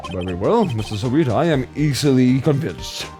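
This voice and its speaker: A man's voice: medium pitch, very animated, moderate pace, dark tone, soft background music, pitched below the performer's own voice, natural voice. A man voicing a ponderous, gullible older male judge with a formal manner in a video game reading. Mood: solemn.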